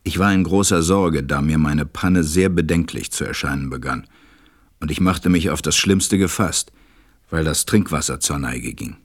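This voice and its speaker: A man playing a stranded pilot who narrates a radio play in a measured, reflective way. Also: very deep voice, dry recording, studio quality. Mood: reflective, worried.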